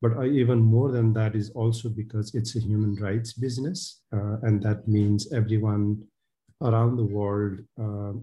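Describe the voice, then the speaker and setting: A man's voice: lowish voice, steady tone, normal speed, dark tone, slight background noise. A man, speaking earnestly as a panelist in a webinar over a headset on a video call. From Nepal. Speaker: panelist, advocacy co-director. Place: home room on a video call.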